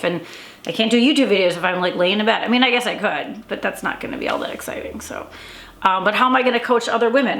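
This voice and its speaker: A woman's voice: medium pitch, a bit bright. Chatty woman vlogger in an echoey room. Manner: rambling, many fillers and restarts. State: a little stuffy, congested. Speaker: vlogger and coach. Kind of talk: casual daily vlog.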